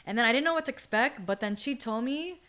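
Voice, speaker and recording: A young American woman telling stories in a casual, slangy, excitable way as a podcast guest. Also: fairly high pitch, quick pace. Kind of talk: casual storytelling chat.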